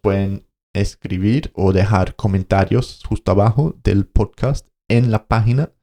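A man's voice: fairly low pitch, fairly even intonation, a little slow, vocal fry, mellow. A man co-hosting a podcast, telling a story in casual conversation, hesitating and searching for words as he goes. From Colombia. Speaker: podcast co-host.

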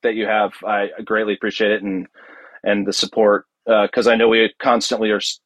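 A man's voice: on the low side, flat delivery, brisk, a bit bright, no room sound, highs cut off. A man speaking casually as an interview guest, an enthusiastic storyteller who often stops and restarts his sentences. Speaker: podcast guest, audio drama producer.

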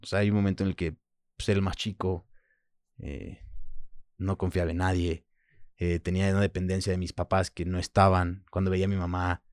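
A man in his thirties with a Mexican Spanish accent, French as his first language, speaking as an interview guest in a reflective, halting way, often pausing with fillers. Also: low voice, little pitch movement, no room sound. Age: 30s. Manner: reflective, halting, frequent "pues" and "¿sabes?". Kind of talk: personal interview.

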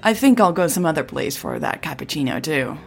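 A woman voicing an eager, persuasive male marketing contact in an audio drama, with a husky, creaky voice. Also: low voice, sing-song, brisk, faint music behind, exaggerated voice. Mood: beaming.

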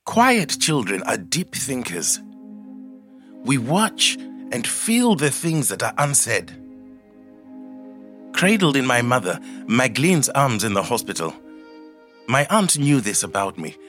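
An actor playing a quiet, thoughtful middle-aged man who tells his life story in a measured, reflective monologue. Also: medium pitch, sing-song, soft background music. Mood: reflective, nostalgic. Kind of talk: scripted first-person monologue, audio drama storytelling.

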